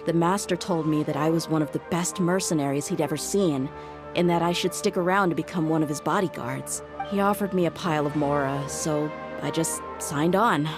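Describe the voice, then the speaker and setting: Woman playing a low-voiced, loyal mercenary bodyguard. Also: natural voice. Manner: frank, firm. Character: tough, loyal young woman mercenary bodyguard, frank and principled. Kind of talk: anime-style video game voice acting. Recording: music behind the voice.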